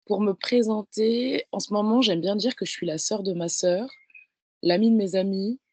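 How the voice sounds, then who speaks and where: A woman's voice: mid-range voice, normal speed, mellow, dry recording. A woman in her twenties from Paris, speaking casually and reflectively as a guest in a conversation. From Paris, France. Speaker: guest, professional handball player.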